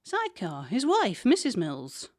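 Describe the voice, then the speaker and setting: A British actress playing a sensible, dry-witted office worker in a comedy audio drama, teasing in a matter-of-fact way. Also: female voice, mid-range voice, wildly swooping pitch, measured pace, no room sound.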